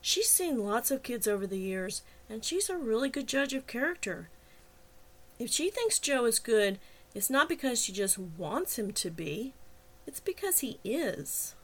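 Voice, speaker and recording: Woman acting an exasperated, stubborn young woman, high-voiced. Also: sing-song, unhurried, fairly bright tone, heavily acted. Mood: forced calm. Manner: emphatic, protesting. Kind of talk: audiobook character dialogue.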